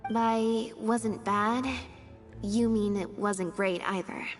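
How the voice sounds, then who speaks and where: Lady playing a chill wry young woman in a game, medium pitch, dry delivery, soft music behind. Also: fairly even intonation, slow pace, close to an everyday voice. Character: cool-headed, wry young female elder sibling. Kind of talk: video game voice acting.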